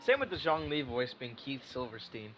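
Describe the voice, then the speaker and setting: A man's voice: medium pitch, sing-song, moderate pace, a bit bright, faint music behind, low-quality recording. A man giving casual game reaction commentary as a streamer, rambling, teasing and swearing freely.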